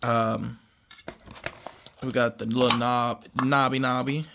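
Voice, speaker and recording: American dude doing a casual unboxing vlog, rambling and slangy, regular pitch, some noise behind him. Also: male voice, little pitch movement, slow pace, creaky. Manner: laid-back, rambling, slangy. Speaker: vlogger showing car audio gear.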